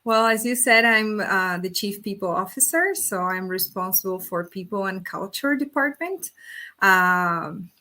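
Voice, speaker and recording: A woman's voice: mid-range voice, a little slow, bright, forceful tone. A woman from Argentina whose first language is Spanish, a company executive answering interview questions over a video call, earnest, with many fillers and restarts. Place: Brazil.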